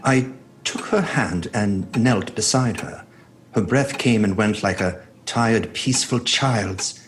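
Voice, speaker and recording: A man playing an earnest, devoted doctor, narrating in a measured, sombre way in a horror audio drama. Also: on the low side.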